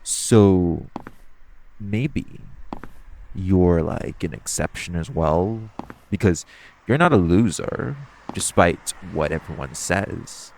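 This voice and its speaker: A flirty supervillain thief, acted by a man with a low-pitched voice. He talks straight to the listener in a casual, teasing audio-roleplay style. His delivery is self-assured yet conversational, broken by halting pauses. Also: expressive, creaky, a little mellow, a little hiss or hum, exaggerated voice, rough recording. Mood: bashful, skeptical. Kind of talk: intimate second-person audio roleplay, conversational acting.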